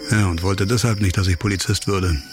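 A man acting a grave, bitter, disgraced former doctor in a radio crime drama, speaking reflectively in sparse, halting phrases. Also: low-pitched, steady tone, music in the background, close to an everyday voice. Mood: somber, reflective.

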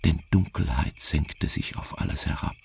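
A man narrating a literary radio drama in a slow, somber, measured way, with a gravelly, husky voice. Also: very deep voice, a little mellow, a little hiss or hum.

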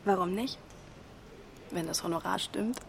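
A woman's voice: lowish voice, measured pace, vocal fry, background noise. An actress playing a cool, shrewd woman in her twenties in a radio drama, direct and challenging in natural conversation. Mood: self-assured. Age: 20s.

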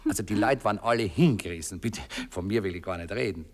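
A man acting aristocratic count, low-pitched, husky, flustered, over-polite, hesitant, naively gushing, radio drama. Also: fast talker, darkish tone, lightly acted. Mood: gushing, bashful. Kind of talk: German radio play acting.

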